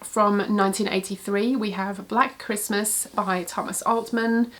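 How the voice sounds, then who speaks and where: Woman reviewer, congested and husky with a cold, casual chatty delivery. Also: mid-range voice, a bit bright. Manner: chatty, frequent "yeah" fillers. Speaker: book reviewer. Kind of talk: casual book recommendations.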